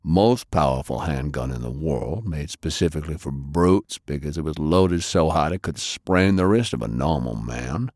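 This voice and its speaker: Guy playing a big gruff country tough guy, super low, terse and folksy, dry audiobook sound. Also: lively intonation, moderate pace, hardly any pauses, a little mellow, no room sound, full character voice. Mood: laconic, analytical. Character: big gruff plain-spoken country-bred American war veteran detective.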